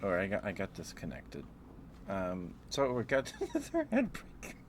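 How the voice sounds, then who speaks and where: A man hosting a casual ASMR livestream, chatting to viewers in a gentle, apologetic, rambling way. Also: low-pitched, wildly swooping pitch, normal speed. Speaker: livestream host. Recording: clearly noisy background.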